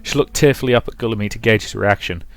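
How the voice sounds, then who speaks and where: A man's voice: on the low side, quick pace, vocal fry. Man hosting a playthrough, music behind. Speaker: let's play host. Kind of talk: casual commentary and dramatic reading of a visual novel.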